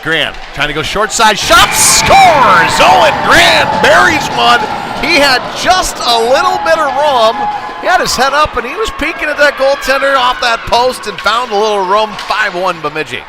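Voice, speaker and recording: Man calling hockey over loud rink noise. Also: very high voice, sing-song, moderate pace, a loud crowd. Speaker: play-by-play commentator. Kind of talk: live youth ice hockey play-by-play commentary. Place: indoor ice rink, at the game. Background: loud rink ambience, bangs.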